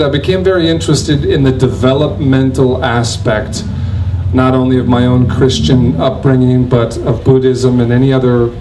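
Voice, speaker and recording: A man in his forties speaking as a panel guest in a crowded covered pavilion, measured and reflective, into a stand mic. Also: medium pitch, background noise. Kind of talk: panel discussion.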